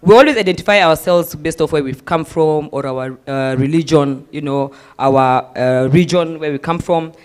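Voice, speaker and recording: A man with a Kenyan accent moderating a university town hall forum, animated and mixing in Swahili phrases. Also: on the high side, normal speed, few pauses, smooth. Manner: animated, mixes Swahili phrases, repeats himself.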